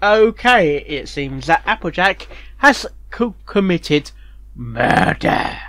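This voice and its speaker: A host gives off-the-cuff reaction commentary in a dry recording. The pitch moves around a lot, and the delivery rambles, doubling back on words and phrases. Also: very animated, slow pace, a bit bright, dry recording. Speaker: reviewer and host of a fanfiction reaction show.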